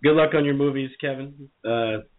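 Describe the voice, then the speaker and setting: A man co-hosting a chat show, hoarse and sniffling from a cold, talking casually. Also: medium pitch, normal speed. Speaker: co-host. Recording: tinny phone-line sound.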